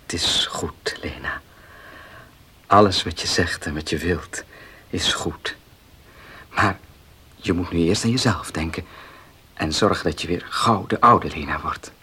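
An actor in a Dutch radio play playing a proud, domineering farmer and husband who speaks emphatically. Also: male voice, low voice, measured pace, long pauses, pushed and bright, slightly rough, whispered, full character voice. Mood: tender, hushed. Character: proud, domineering gentleman farmer, loving but stubborn husband.

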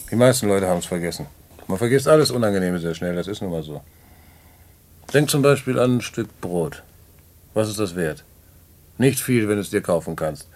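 A man's voice: fairly low pitch, expressive, moderate pace, long pauses, a little mellow, natural voice. An actor playing a worldly former racketeer, telling his story in a knowing, patronising way in a radio play. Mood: knowing, lecturing. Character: worldly male ex-racketeer fondly recounting his schemes. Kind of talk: radio play narration in dialogue.

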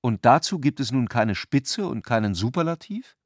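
A man delivers scripted literary narration for a radio play. His voice is mid-pitched, and he phrases things in a measured, reflective way. The recording is dry, with no room around the voice. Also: big pitch swings, dry recording.

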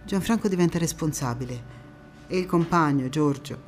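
An actress playing a passionate wartime partisan woman in a theatrical monologue, phrasing every line with deliberate emphasis. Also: sits low, a little hiss or hum, close to an everyday voice. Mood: measured narrating. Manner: emphatic, deliberate phrasing swinging between hushed intimacy and fervour. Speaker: stage actress. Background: music.

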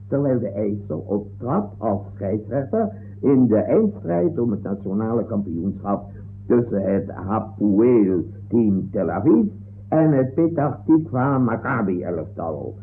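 An actor playing a formal, officious and impatient courtroom judge in a 1960s comic radio play. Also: male voice, medium pitch. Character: stern, formal, exasperated male courtroom judge. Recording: noisy narrow-band old radio recording.

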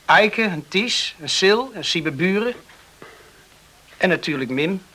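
A young island man in a radio play, rescued from a storm. His voice sits fairly high and rings very bright. He speaks earnestly, with halting, moved phrasing. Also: expressive, heavily acted. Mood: grateful, warm. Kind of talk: radio drama acting.

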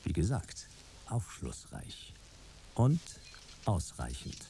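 An actor playing the wry male narrator of a detective radio drama, delivering scripted lines in a dry, clipped, deadpan way. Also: low voice, slow pace, gravelly, a little hiss or hum, exaggerated voice.

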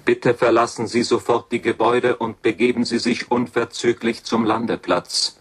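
An actor playing an official station announcer giving formal, impersonal instructions in a science fiction radio play. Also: male voice, mid-range voice, completely flat delivery, normal speed. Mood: official, urgent. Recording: announcement with crowd clearly audible.

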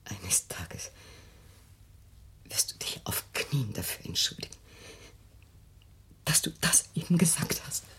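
Radio drama mother, middle-aged: very low, whispery, bitter and defensive, swinging widely from hush to outburst. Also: sing-song, slow, long pauses, full character voice. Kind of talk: German radio play acting.

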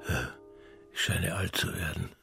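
An actor playing a gruff, hot-blooded soldier in a radio drama, blunt and grumbling. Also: male voice, low-pitched, a little slow, bright, forceful tone, soft background music, heavily acted. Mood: musing. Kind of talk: German radio play acting.

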